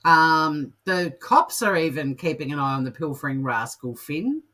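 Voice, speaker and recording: Low-voiced woman, jokey co-host. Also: lively intonation, moderate pace, a bit bright. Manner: jokey, amused. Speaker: co-host of a comedy news show. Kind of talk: casual chat and reading out odd news.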